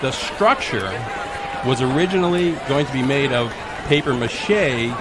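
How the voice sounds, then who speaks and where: A man as a guest commentator on a radio show, delivering joking parade commentary in a halting way, repeating phrases for emphasis. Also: medium pitch, expressive. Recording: very noisy radio broadcast.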